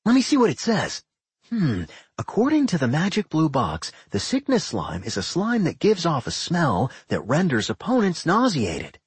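A gleeful tentacled dungeon monster, pitched high and swooping wildly. The creature is excitable and manic, exclaiming everything with eager encouragement in a fantasy storybook. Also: male voice, normal speed, husky, no echo, heavily acted. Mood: eager explaining.

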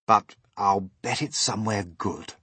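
A man's voice: fairly low pitch, unhurried, bright, forceful tone, husky, dry recording, exaggerated voice. A man voicing a kindly old male grasshopper, hopeful and reassuring, in a children's storybook reading. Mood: forced cheer. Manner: encouraging, hopeful.

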